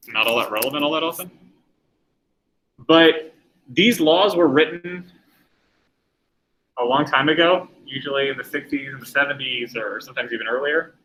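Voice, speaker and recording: Bright-voiced man lecturing a class. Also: medium pitch, a little hiss or hum, low-quality recording. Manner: conversational, asks the class questions. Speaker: teacher.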